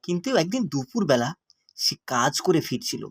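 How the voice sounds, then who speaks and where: A man's voice: a little high, big pitch swings, normal speed, husky, no room sound, clean studio sound. A man narrating a scripted cartoon story.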